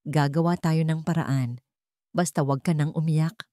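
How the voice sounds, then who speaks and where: A caring young man, loyal and reassuring, as a woman storyteller plays him. He speaks soothingly in radio-drama style, in a lowish register and dry sound. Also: steady tone, measured pace, dark tone, no room sound. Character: caring, loyal young male childhood friend.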